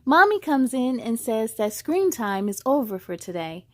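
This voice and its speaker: A woman reading as an earnest young girl in a children's storybook, slowly and expressively. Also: on the high side, very animated, darkish tone, no echo. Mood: earnest, amused. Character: young girl narrator learning to manage anger. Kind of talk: children's read-aloud in first person.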